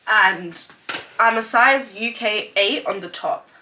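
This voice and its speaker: Bright-voiced young woman with a cold. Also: mid-range voice, takes it slowly, a little hiss or hum, low-quality recording. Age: 20s. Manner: chatty, open, lots of "like" fillers. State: congested with a cold. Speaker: vlogger. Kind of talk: casual sit-down vlog chat. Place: indoors, seated at home. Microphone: camera mic, sitting in front of it.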